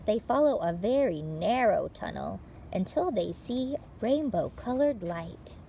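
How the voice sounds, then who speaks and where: A woman hosting story time for young children, reading aloud in an animated, encouraging way with simple phrasing. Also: high-pitched, vocal fry, a little hiss or hum.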